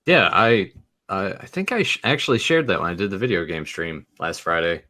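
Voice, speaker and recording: A man's voice: low-pitched, very animated, fairly quick, pushed and bright. A man with a Southern American accent, co-hosting a podcast in a rambling casual chat full of restarts. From Southern United States. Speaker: podcast co-host.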